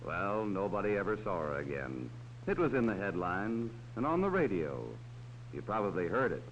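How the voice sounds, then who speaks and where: Male narrator for a 1940s kids' safety film, lively and patient, dull hissy sound. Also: fairly low pitch, normal speed. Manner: talks down to children. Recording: dull old film soundtrack with faint hiss.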